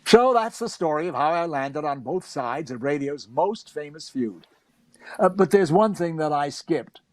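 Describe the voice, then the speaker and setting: A male narrator delivers scripted reminiscence. His voice is somewhat high, and the sound is very dry with no room around it. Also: expressive, a little slow, a little mellow, no room sound. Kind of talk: scripted first-person narration.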